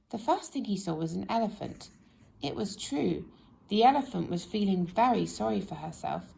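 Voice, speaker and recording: Lively woman reading a children's storybook. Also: mid-range voice, gravelly, poor audio. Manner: animated, addresses young listeners with questions. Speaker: storybook reader. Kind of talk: expressive children's story narration.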